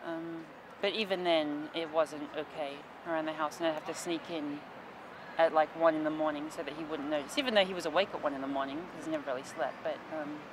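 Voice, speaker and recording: A twenty-year-old woman telling her life story in an interview, soft and hesitant with many ums. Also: low voice, steady tone, brisk, noisy background.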